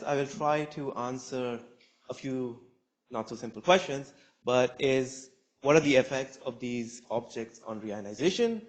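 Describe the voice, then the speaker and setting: A man's voice: mid-range voice, rough recording. A man in his twenties, a student researcher presenting a scientific talk at a conference in a lecture room. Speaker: PhD student speaker.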